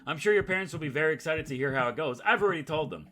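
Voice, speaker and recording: A man reading the lines of a calm, coaxing male high school teacher in a visual novel, patient but insistent. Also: very fast, slight background noise. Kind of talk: visual novel read aloud.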